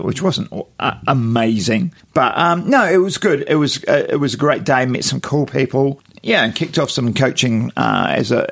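A New Zealand man co-hosts a podcast in a casual, conversational way. His mid-pitched voice is croaky and gravelly, slightly hoarse as if worn from yelling. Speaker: podcast co-host. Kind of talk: casual chat.

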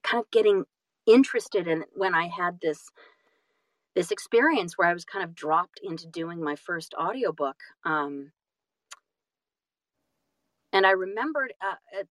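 Female interview guest, slightly low-pitched, self-deprecating storyteller, casual and emphatic, very dry close sound. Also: lively intonation, measured pace, dry recording, rough recording. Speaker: guest, audiobook narrator and actress.

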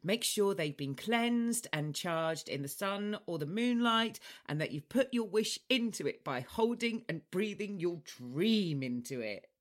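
A woman hosting a podcast in a chatty, playful style, with a cheeky, theatrical and expressive delivery. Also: medium pitch, measured pace, hardly any pauses. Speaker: podcast host.

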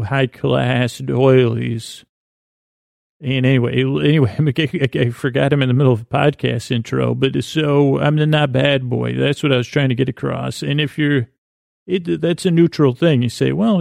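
Gravelly slow-rambling male podcaster. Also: medium pitch, a little mellow, no room sound, clean studio sound. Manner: slow meandering soothing delivery. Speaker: podcast host. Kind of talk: rambling sleepy podcast intro monologue.

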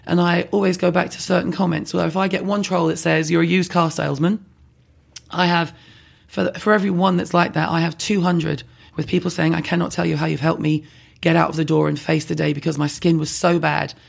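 Husky, gravelly woman, fast talker. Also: fairly low pitch. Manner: blunt, animated, self-deprecating. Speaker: skincare blogger guest. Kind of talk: candid podcast conversation.

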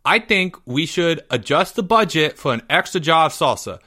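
A man's voice: a little high, expressive, normal speed, bright, forceful tone, pitched above the performer's own voice. A man voicing an earnest schoolboy club officer who speaks in an insistent, childishly formal way. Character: earnest, complaining schoolboy deputy marshal with motions.

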